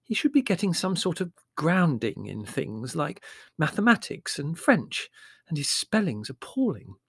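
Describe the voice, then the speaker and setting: Guy doing a fretful middle-aged mum for a storybook, higher voice, gentle n vague, kinda placating. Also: very animated, a little slow, gravelly, slightly rough, dry recording, studio quality, exaggerated voice. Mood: doubtful. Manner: hesitant, placating, mildly doubtful. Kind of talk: audiobook character voice.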